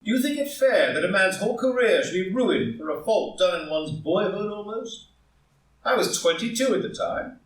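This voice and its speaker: Actor as an anguished gentleman, echoey and distant. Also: sits high. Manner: impassioned, rhetorical, formal. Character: anguished, proud, ambitious married gentleman politician. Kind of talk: live group play reading. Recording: roomy echo, far from the mic.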